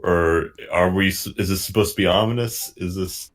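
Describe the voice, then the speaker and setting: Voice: low-pitched, normal speed. A panelist giving frank but hesitant critique in a casual group chat.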